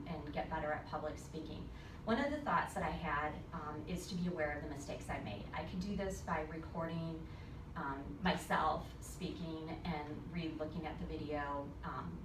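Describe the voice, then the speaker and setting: A woman college student giving a class speech about her public speaking, earnest and prepared with a few fillers. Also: low-pitched. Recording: echoey room from far off with noise.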